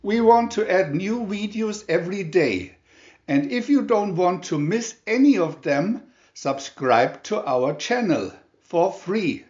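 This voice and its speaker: A man narrating a scripted storybook in a deliberate, theatrical announcing manner. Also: high voice, lively intonation, a little mellow. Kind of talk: dramatic scripted storybook reading. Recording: dull lo-fi, roomy echo.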